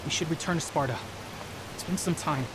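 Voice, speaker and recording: A man's voice: a little high, a little fast, background noise, lightly acted. A young man playing a stern, dutiful older brother and Spartan warrior in a video game, curt and commanding with formal phrasing. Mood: impatient.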